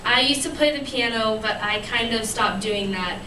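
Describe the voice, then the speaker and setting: A woman's voice: on the high side, moderate pace. A young woman giving an earnest church devotional to a fellowship, with many ums and restarts, her voice still worn from having lost it. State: recovering from a lost voice. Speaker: youth speaker at church fellowship. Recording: echoey room, distant mic, noisy.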